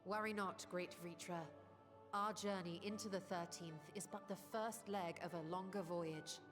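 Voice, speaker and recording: An actress voicing a composed, scholarly sorceress in a video game, speaking formally and articulately. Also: female voice, lowish voice, fairly even intonation, moderate pace, close to an everyday voice. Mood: resolute. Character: scholarly sorceress, composed, eloquent, keen for discovery. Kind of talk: scripted video game voice acting. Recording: long reverb, music behind.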